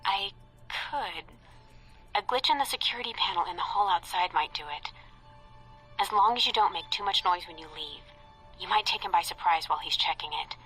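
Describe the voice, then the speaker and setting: A woman's voice: lowish voice, lively intonation, normal speed, fairly bright tone, soft background music, poor audio. An actress playing a guarded, matter-of-fact young woman agent in a video game, clipped and cool. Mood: cool, businesslike.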